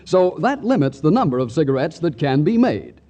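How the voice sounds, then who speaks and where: A man's voice: on the high side, sing-song, fairly quick, dark tone. A man announcing a scripted 1940s radio advertisement in an emphatic, rhetorical style. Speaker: commercial announcer. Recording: dull old radio recording.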